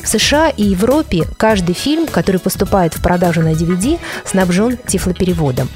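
A woman's voice: fairly low pitch, expressive, brisk, a little mellow, soft background music. A woman reporter narrating a scripted news report with pointed, wry commentary. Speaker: radio reporter.

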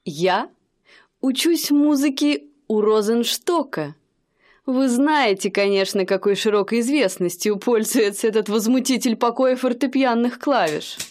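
A woman's voice: fairly high pitch, measured pace, a little mellow, lightly acted. A young woman playing an aspiring pianist in a radio play, speaking earnestly and measuredly. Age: young adult.

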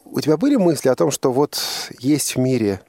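Animated male radio interviewer, dry sound. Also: medium pitch, a little fast, no echo. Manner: repeats and rephrases questions, probing. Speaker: radio host interviewing a young guest.

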